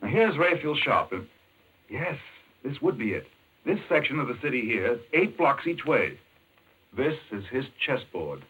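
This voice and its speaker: An actor playing an intense, urgent doctor in a 1940s American radio mystery, explaining things emphatically. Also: male voice, mid-range voice, big pitch swings, measured pace, fairly bright tone, breathy edge, heavily acted. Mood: fascinated, grave. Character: intense, obsessed male chess-playing doctor explaining a madman's scheme. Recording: muffled 1940s radio recording.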